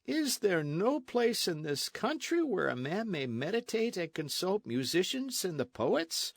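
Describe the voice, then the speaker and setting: A man voicing a mild, weary young gentleman who talks in drawn-out phrases. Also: high voice, big pitch swings, vocal fry, no echo, pitched above the performer's own voice, heavily acted. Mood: languid.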